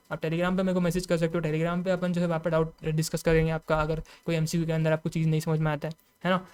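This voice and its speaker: A man's voice: high-pitched, darkish tone, clean tone. A man with an Indian accent giving an informal explanatory lecture as an online teacher, addressing students directly and often adding little check-in tags. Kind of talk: informal explanatory lecture, mixing Hindi and English.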